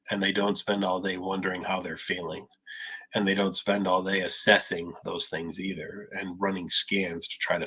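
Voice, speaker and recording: A man's voice: low-pitched, sharp tone, breathy edge, poor audio. A man, a psychologist, answering viewer questions in a live Q&A, explaining patiently with a touch of sarcasm, a little stuffed up. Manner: explanatory, mildly sarcastic when acting out obsessive thinking. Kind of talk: live Q&A talk.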